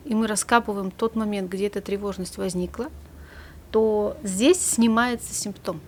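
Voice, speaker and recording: A woman psychologist, a guest in a studio conversation, calmly explaining and trailing off softly at the ends of her phrases. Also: medium pitch, normal speed, slight background noise.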